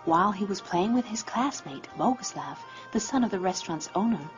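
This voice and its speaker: A woman's voice: medium pitch, expressive, brisk. A woman reading scripted narration in a storybook biography style. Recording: dull sound, loud music behind.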